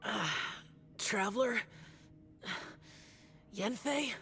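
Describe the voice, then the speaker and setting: A woman's voice: on the high side, very slow, creaky, a bit bright, exaggerated voice. A woman voicing a brooding, aloof immortal warrior youth in a fantasy video game, terse, grave and guarded. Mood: weary, guarded. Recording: game music behind the voice.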